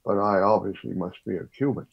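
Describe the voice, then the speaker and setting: Older man with a gravelly, husky voice. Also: low-pitched, steady tone, lo-fi sound. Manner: measured, slightly formal phrasing. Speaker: professor guest. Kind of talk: reflective interview talk.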